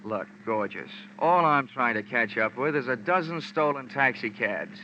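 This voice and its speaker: An actor playing a wry, tough, wisecracking insurance investigator who narrates in noir radio style. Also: male voice, medium pitch, expressive, moderate pace, a little hiss or hum, lightly acted. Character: hard-boiled, wisecracking male insurance investigator. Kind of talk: noir first-person narration, old-time radio acting. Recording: muffled old radio drama recording. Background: music.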